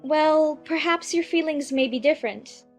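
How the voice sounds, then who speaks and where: A woman's voice: high voice, moderate pace, faint music behind. A woman playing an earnest, puzzled little girl in a dramatized audiobook, with a polite, hesitant, musing manner. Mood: earnest, irritated. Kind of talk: dramatized audiobook character acting.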